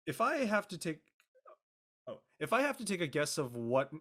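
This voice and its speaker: A man, an actor on a live show, talking casually about his character and haltingly searching for his words. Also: on the high side, big pitch swings, fairly bright tone, breathy edge. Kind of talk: introducing his character, casual panel talk.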